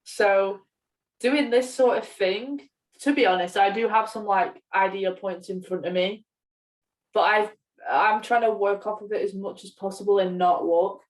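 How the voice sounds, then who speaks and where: A woman's voice: mid-range voice, steady tone, a little slow. Woman podcasting, distant from the mic. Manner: self-deprecating, hesitant. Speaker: podcast co-host. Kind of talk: casual podcast chat.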